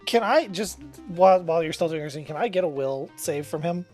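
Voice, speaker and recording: A woman's voice: lowish voice, animated, brisk, darkish tone, faint music behind. A woman chatting casually as a player in a tabletop role-playing game. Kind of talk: casual chat.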